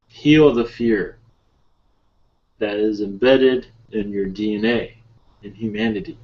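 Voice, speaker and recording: Guy channeling an ancient spirit guide, real slow halting solemn talk, muffled webinar audio. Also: fairly low pitch. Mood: calm, earnest. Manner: halting, measured, broken phrasing with odd syntax. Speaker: channeler leading a webinar session. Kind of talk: slow improvised channeled speech.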